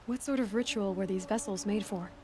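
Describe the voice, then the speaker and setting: An actress playing a composed, direct young tracker heroine in a video game, speaking in a matter-of-fact, guarded way. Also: female voice, medium pitch, a little fast, darkish tone, a little hiss or hum, lightly acted. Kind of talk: video game character acting.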